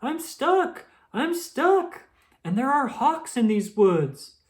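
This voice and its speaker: A woman voicing a panicked, crafty female rabbit with shrill, screeching cries in a storybook. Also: sing-song, slow, heavily acted.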